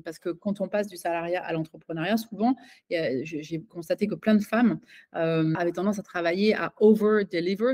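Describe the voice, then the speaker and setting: A woman's voice: lowish voice, a little mellow. A woman giving an interview as a coach and author, talking in a hesitant way with many fillers and restarts. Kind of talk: casual conversational interview.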